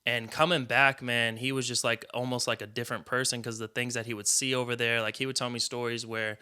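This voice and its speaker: A man's voice: medium pitch, little pitch movement, brisk, pushed and bright, smooth. An American man hosting a casual interview, talking in an informal, conversational way. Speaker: interviewer, host.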